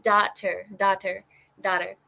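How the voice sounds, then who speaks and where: A woman's voice: mid-range voice, flat delivery, measured pace, creaky, pushed and bright. Woman chatting casually as host. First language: Arabic. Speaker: host of a group discussion. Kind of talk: casual chat.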